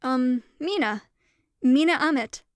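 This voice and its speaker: A woman's voice: high voice, expressive, takes it slowly, smooth, dry recording, studio quality. A woman playing a young goat girl in a fantasy audio drama, earnest and anxious, with a hesitant, polite manner. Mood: timid, confused.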